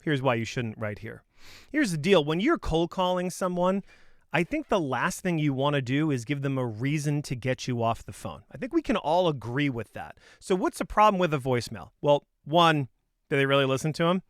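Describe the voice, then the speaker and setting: A man's voice: on the high side, lively intonation, normal speed, dry recording, studio quality. A man coaching on sales in an informal, opinionated talk, speaking emphatically and conversationally with punchy rhetorical questions.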